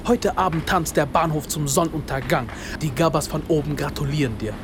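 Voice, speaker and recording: A man's voice: on the high side, brisk, music in the background, lightly acted. An actor playing a bitter young man from an immigrant neighbourhood in a radio drama monologue, speaking in rhythmic short phrases with insistent repetition. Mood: wry, driven. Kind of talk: literary radio drama monologue, spoken-word delivery.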